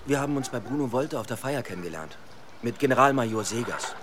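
An actor playing a dogged, sleepless detective in a radio play, terse and probing. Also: male voice, mid-range voice, expressive, fast, fairly bright tone, a little hiss or hum, natural voice. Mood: guarded, probing. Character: dogged, sleepless young police detective in old Berlin.

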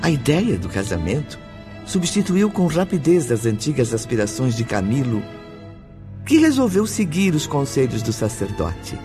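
A man's voice: medium pitch, very animated, moderate pace. A man narrating a radio drama from a script in a solemn, declamatory manner. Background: music.

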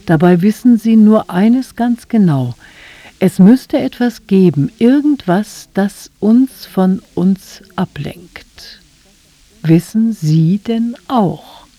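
A woman presenting a scripted literary introduction to a radio drama in a soft voice. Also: mid-range voice, animated, slow pace, no echo.

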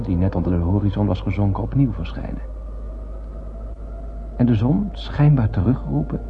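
Low male voice, actor, earnest spacefarer narrator, reflective, lyrical, radio drama, tinny with faint hum. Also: lightly acted.

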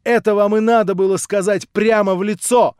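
A man's voice: high voice, steady tone, normal speed, a little mellow, clear, resonant tone, no room sound, clean studio sound, voice pushed up, lightly acted. A man reading an audiobook voices a proud young woman, speaking impassioned and outraged. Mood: accusing. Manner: impassioned, rising to outraged cries.

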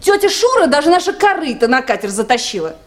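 A pert, chatty young girl in a radio drama. Her voice is high and swings freely up and down, with a naive, questioning manner. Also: sing-song, quick pace. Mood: indignant. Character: lively, naive, chatty young woman hydrologist. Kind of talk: Soviet radio play acting.

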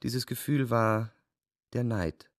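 An actor playing a cynical, bored officer who confides his diary in a radio drama, measured, deliberate and coolly ironic. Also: mid-range voice, no room sound, studio quality, natural voice. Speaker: narrator and lead actor. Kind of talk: literary radio drama narration and dialogue.

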